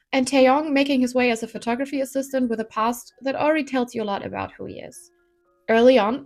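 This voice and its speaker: A woman narrating a scripted drama review in an earnest, conversational tone with light humor. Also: high-pitched. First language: not Korean. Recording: faint music underneath.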